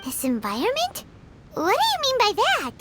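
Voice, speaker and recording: A woman voicing a tiny childlike fairy companion in a video game, squeaky, excitable and blunt. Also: moderate pace, noisy background, heavily acted. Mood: puzzled. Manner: refers to self in third person. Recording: loud game music behind.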